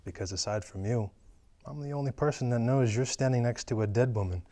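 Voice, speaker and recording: A man's voice: mid-range voice, brisk, warm tone, close to an everyday voice. A man playing a cool, taunting killer on the phone. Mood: menacing. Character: calm menacing male caller, a killer. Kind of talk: script table reading.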